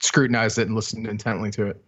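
A man's voice: fairly low pitch, level tone, fast talker, fairly bright tone. A middle-aged man chatting casually as co-host of a music podcast, on slightly lo-fi audio. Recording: slightly dull, lo-fi sound.

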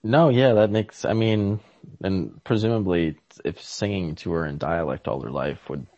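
A low-voiced man chats about books as a podcast co-host. He feels his way haltingly through each idea with an amused, casual air. The sound is lo-fi and very dry, with no room around the voice. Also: warm tone, no room sound. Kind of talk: casual literary discussion. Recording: lo-fi, dry close recording.

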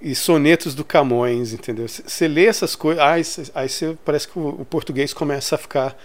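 A man's voice: a little high, a little fast. A man with a Brazilian accent chatting casually and enthusiastically as a podcast host, in colloquial language. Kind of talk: casual chat about literature.

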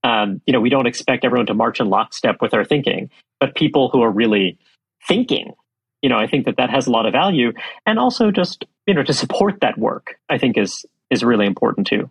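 Husky-voiced man, halting and thoughtful. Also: medium pitch, no echo. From Brooklyn, New York. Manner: hesitant, many fillers and restarts. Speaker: think tank president. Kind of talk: conversational interview.

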